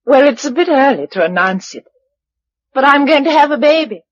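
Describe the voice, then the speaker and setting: A woman's voice: high-pitched, expressive, fairly quick. A woman playing a caring young wife and homemaker among survivors in a radio drama. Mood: concerned. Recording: dull lo-fi radio recording.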